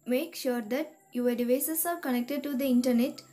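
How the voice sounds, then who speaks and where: A woman reading a scripted step-by-step tech tutorial in a measured, instructional manner. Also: high-pitched, dark tone. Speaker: tutorial narrator. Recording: voice-over with music behind. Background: background music.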